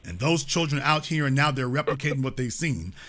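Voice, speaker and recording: A man's voice: mid-range voice, lively intonation, fairly quick, a bit bright. A man hosting a call-in show, lecturing in an emphatic way. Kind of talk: talk radio commentary.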